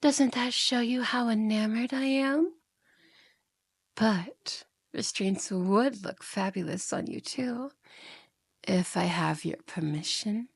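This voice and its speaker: A woman's voice: a little high, slow, husky, no echo, heavily acted. A woman playing a seductive, mocking yandere demon in an ASMR roleplay, coaxing and theatrical, often slipping into whispers. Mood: teasing, flirtatious.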